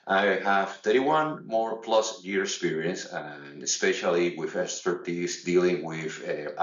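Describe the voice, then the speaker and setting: A middle-aged or older man from Puerto Rico with a Puerto Rican Spanish accent, a native Spanish speaker presenting an instructional webinar in a halting, stumbling delivery. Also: low-pitched, a bit bright. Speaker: tax accountant presenting a webinar. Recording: distant, roomy, dull lo-fi microphone.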